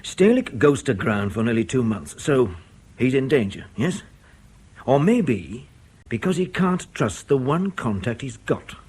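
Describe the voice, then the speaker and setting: An actor playing a methodical intelligence officer in a radio drama, talking in a colloquial, argumentative way. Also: male voice, mid-range voice, sing-song, a little slow, darkish tone, slightly rough. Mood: urgent reasoning. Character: brisk, reasoning male intelligence station officer. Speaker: intelligence officer briefing a colleague.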